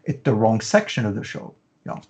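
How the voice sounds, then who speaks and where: A man's voice: fairly low pitch, sing-song, brisk, darkish tone. A middle-aged man, a fashion business consultant, explaining his methods in an interview and stringing long lists together with frequent "you know" fillers.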